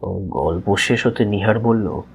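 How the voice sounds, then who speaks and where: A man's voice: on the low side, slow pace, creaky, faint music behind. A man narrating a story in the first person as part of a dramatized audio production. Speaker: second narrator.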